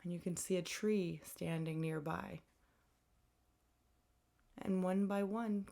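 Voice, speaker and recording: A woman guides a mindfulness exercise in a fairly low voice. Her tone is thick with creak and fry. She phrases instructions as gentle invitations, in a conversational way. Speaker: meditation guide, counselor.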